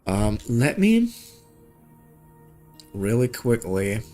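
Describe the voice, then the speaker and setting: A man's voice: mid-range voice, sing-song, very slow, warm tone. Casual man chatting, faint music behind. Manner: informal, slangy, thinking aloud. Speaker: game streamer. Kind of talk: casual gaming stream chat.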